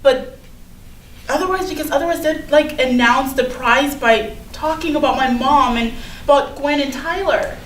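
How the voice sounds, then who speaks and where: A woman acting an anxious, earnest high school girl in a staged play reading. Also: normal speed, close to an everyday voice. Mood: anxious, then relieved. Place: indoor room at a staged reading. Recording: roomy, distant mic, faint noise.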